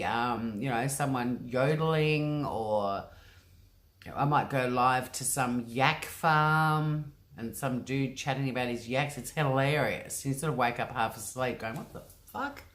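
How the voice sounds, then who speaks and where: A woman with a strikingly deep, low voice hosts a casual livestream from home, speaking into a computer mic at her desk. She chats loosely and rambles, reading out viewers' comments and filling her talk with ums and likes. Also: a little slow. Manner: chatty, rambling, often says um and like. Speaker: livestream host.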